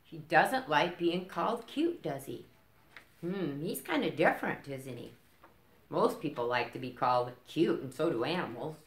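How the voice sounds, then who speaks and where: A woman's voice: low voice, lively intonation, moderate pace. A middle-aged woman reading a picture book aloud to children, with simple explanations and checking questions. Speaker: library story time reader. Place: indoors in a curtained room. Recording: roomy, echoing small-room sound.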